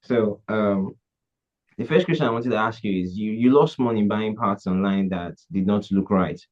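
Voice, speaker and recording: A man's voice: lowish voice, normal speed, a little mellow. A man hosting a podcast interview, hesitant and often restarting his questions. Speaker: podcast host. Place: Africa. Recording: dull lo-fi sound.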